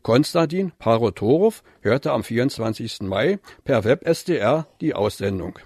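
A man's voice: mid-range voice, animated, normal speed, darkish tone, husky, dry recording, studio quality. A man presenting listener mail on radio, reading from a script in a formal, list-like manner.

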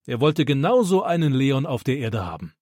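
A man voicing a kind, pious old grandfather on a mountain hike, gentle and instructive, in a dry close recording. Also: mid-range voice, sing-song, normal speed, no echo.

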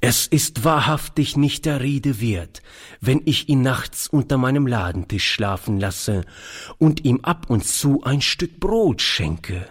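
A man voicing a gentle, humble old shopkeeper grandfather in an audiobook, in a mild, halting, earnest manner. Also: mid-range voice, very animated, breathy edge, heavily acted. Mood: earnest, resolute. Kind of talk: audiobook character voice.

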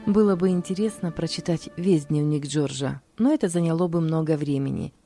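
Low, mellow woman reading calmly. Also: breathy edge, no room sound. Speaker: narrator. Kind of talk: scripted reading of a religious diary.

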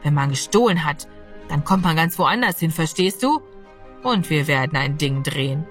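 A woman's voice: low-pitched, lively intonation, moderate pace, soft background music, pitched below the performer's own voice. Woman voicing a menacing, gloating male villain. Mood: threatening. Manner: threatening, gloating, coercive. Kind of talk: audiobook character voice.

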